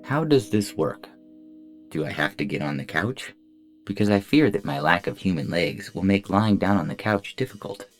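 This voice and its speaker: A man voicing a gentle, courteous robot patient with polite, precise, formal phrasing in a science fiction audio story. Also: deep voice, lively intonation, moderate pace. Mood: uncertain. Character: gentle, scrupulously courteous childlike-eyed robot therapy patient. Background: music.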